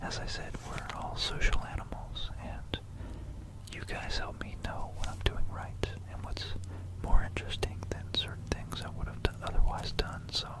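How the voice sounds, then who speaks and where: An ASMR host speaking in a breathy, unvoiced whisper, with a gentle, rambling, hesitant bedtime delivery in an informal style. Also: rough recording. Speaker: ASMR video creator and host.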